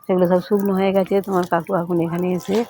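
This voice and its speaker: A woman's voice: fairly low pitch, flat delivery, very fast. A middle-aged or older woman, chatting casually to viewers as she narrates her daily home life, on a slightly noisy handheld recording. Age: middle-aged or older, has grandchildren. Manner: chatty, addresses viewers as friends. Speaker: home vlogger.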